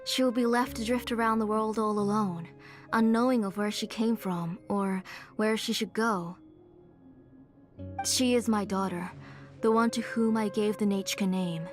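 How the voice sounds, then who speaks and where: An actress voicing a soft-spoken, caring mother in a game, earnest and gentle. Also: mid-range voice, fairly even intonation, a little slow, faint music behind, close to an everyday voice. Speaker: mother and name engraver.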